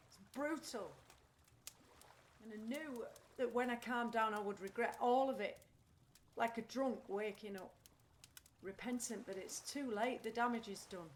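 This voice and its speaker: An actress playing a troubled, candid, anxious woman in an audio play, halting as she searches for words. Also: a little high, fairly bright tone, slight background noise. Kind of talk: audio play acting, confessional monologue.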